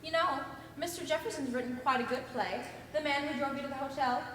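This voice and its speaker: A woman playing a composed, politely needling secretary in a stage comedy, with a dry, quietly sarcastic manner. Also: sits high, fast, lightly acted. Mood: dry, sweet. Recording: echoey hall from far off, noisy.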